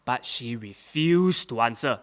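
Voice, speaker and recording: An actor playing a male witness at a wartime trial, speaking in a halting testimony that climbs into agitated outbursts. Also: mid-range voice, lively intonation, fairly bright tone. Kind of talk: audio drama acting.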